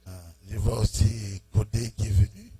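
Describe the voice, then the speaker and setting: A man pastor greeting a church congregation in French, speaking in short phrases and pausing for his interpreter. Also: on the low side, little pitch movement, music in the background. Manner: slow, pausing phrase by phrase for the interpreter, chuckling warmly. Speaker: preaching pastor. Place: church hall.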